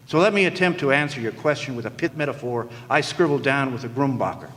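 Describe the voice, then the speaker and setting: An actor playing a wry, world-weary hard-boiled private eye, delivering deadpan, wordy lines in a noir radio play. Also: male voice, mid-range voice, quick pace, natural voice. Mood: sardonic. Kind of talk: film noir radio detective acting. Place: live performance in a hall. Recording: echoey hall stage, faint noise.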